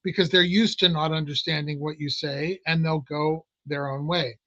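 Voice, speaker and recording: A man's voice: a little high, steady tone, moderate pace, pushed and bright, no echo, lo-fi sound. A man, a clinical hypnotist, explaining his work in a casual interview, with frequent fillers and restarts. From Italian American family.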